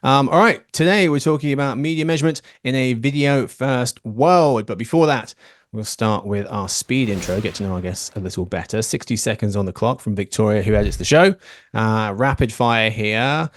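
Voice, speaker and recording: A man's voice: medium pitch, moderate pace, dry recording. A man hosting a podcast in casual chat, teasing his guests with playful banter. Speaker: podcast host.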